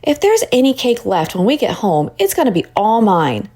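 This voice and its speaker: A woman's voice: on the high side, wildly swooping pitch, normal speed, mellow. A woman voicing a stubborn, pouty seven-year-old little sister in a storybook, insistent and whiny.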